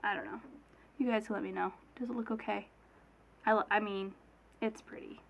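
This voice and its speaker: A woman's voice: medium pitch, fairly even intonation, moderate pace, creaky, highs cut off. A young woman with a cold, her voice a little off, chatting casually through a beauty unboxing, rambling with fillers and self-corrections. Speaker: beauty vlogger. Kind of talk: casual product unboxing chat.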